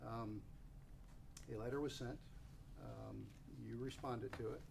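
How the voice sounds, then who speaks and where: A man with a creaky, gravelly voice chairs a formal meeting discussion. He presses his points insistently and argues back. Clear background noise runs under the recording. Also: mid-range voice, fairly even intonation, slow. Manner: insistent, argumentative. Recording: noisy public meeting recording.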